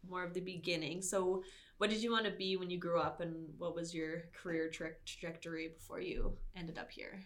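A woman's voice: lowish voice, flat delivery, fairly quick. Podcast host woman in an echoey room. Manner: friendly, asks questions, laughs easily. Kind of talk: podcast intro and interview.

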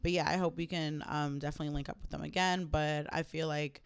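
A young woman co-hosting a podcast, chatting casually, cursing freely and jumping up high when outraged. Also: fast, smooth. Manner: animated, swears often, spikes high when outraged. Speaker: podcast co-host. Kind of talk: casual chat.

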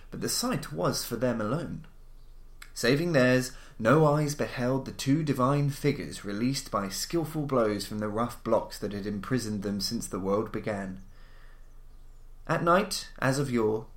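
A man reading gothic horror stories as a podcast narrator, with a formal, measured, dramatic storytelling delivery. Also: mid-range voice. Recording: roomy, echoing voice recording.

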